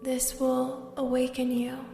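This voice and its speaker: Slow, breathy meditation guide woman. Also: sits high, monotone. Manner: slow, soft and soothing, drifting into whispers. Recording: roomy echo with long reverb and soft music.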